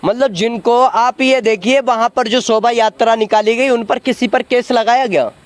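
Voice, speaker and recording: A man argues politics in a strikingly high-pitched voice. He is combative and insistent, repeating himself and pressing rhetorical questions as an interviewee who wants to be heard. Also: fairly even intonation, moderate pace, no room sound. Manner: combative, cuts in to demand a hearing. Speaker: interviewee in a street political debate. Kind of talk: heated political argument.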